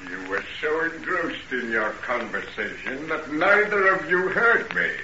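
An actor playing a sinister, gloating villain with mocking, theatrical courtesy in an old radio drama. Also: male voice, a little high, very animated, bright, forceful tone, exaggerated voice. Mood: sly, amused. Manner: slow, theatrical, mockingly polite. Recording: muffled noisy old radio recording.

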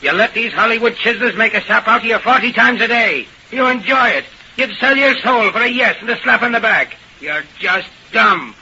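An actor playing a blunt, insulting troublemaker who talks with taunting scorn in an old radio drama. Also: male voice, high-pitched, a little fast, creaky, sharp tone, full character voice. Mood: scornful. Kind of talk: 1930s-40s radio drama acting. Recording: old noisy, muffled radio recording.

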